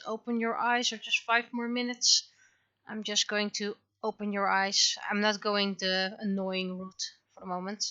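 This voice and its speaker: A woman's voice: mid-range voice, pushed and bright, clear, resonant tone, no echo, highs cut off. A woman giving casual livestream commentary as she shows her own creative work. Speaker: livestreamer, webcomic and visual novel creator.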